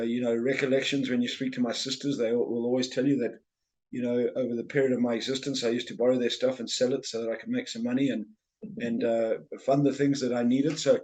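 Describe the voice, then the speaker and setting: A man's voice: mid-range voice, a little mellow, rough recording. A middle-aged man with a Zimbabwean accent, talking casually as an interview guest and often repeating words as he starts a thought. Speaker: guest, sales trainer and speaker.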